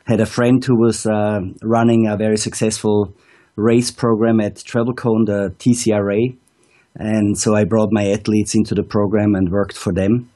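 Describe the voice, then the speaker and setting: A man with a low, mellow voice calls in to an interview over an online link. He tells his story steadily and methodically, with frequent "um"s between clauses. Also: little pitch movement, moderate pace. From Austria. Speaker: ski school director, guest. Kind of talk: casual interview answers. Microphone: calling in over an online video call.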